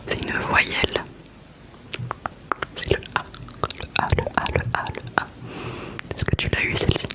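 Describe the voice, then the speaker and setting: Softly whispering young woman. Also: unhurried, low-quality recording. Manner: soft whisper, repeats letters over and over; playful, chatty, self-mocking. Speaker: ASMR creator. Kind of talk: whispered ASMR letter guessing game.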